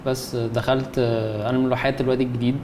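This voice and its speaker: Egyptian guy in his 20s, rapid hesitant chat, filler words everywhere. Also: medium pitch, level tone, noisy background. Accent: Egyptian Arabic. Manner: hesitant, many fillers and restarts. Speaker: guest, concept artist. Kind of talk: casual chat.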